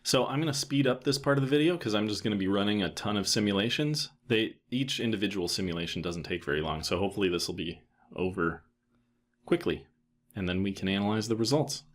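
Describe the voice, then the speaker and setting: A man in his thirties giving a casual, matter-of-fact software tutorial, thinking aloud as he goes. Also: on the low side, fast. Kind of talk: casual screen-recorded tutorial narration.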